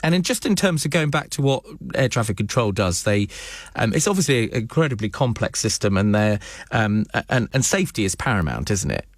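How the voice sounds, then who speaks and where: A man's voice: fairly low pitch, very animated, a little fast, no room sound, studio quality. A British man presenting radio news and interviewing live, with hesitant, wordy questioning.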